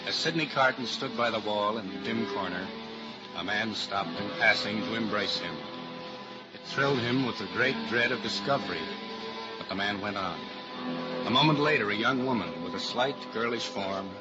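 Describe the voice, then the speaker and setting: A man's voice: on the low side, moderate pace. A man giving dramatic scripted narration for an old-time radio drama. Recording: dull old radio recording, loud music. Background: loud music.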